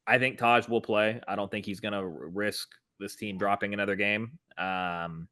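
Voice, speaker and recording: A man's voice: on the low side, steady tone, normal speed, sharp tone. A man co-hosting a sports podcast, talking casually in a blunt, emphatic, exasperated way. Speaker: podcast co-host.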